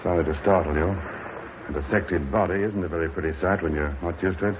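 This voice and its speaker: A composed inspector played by a male actor speaks in a low, clipped, businesslike voice. It is old radio drama, dry in manner, with a narrow, slightly noisy sound. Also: fast talker, natural voice. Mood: matter-of-fact. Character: composed, brisk, methodical British police inspector. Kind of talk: vintage radio drama acting. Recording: narrow-band old radio recording, faint noise.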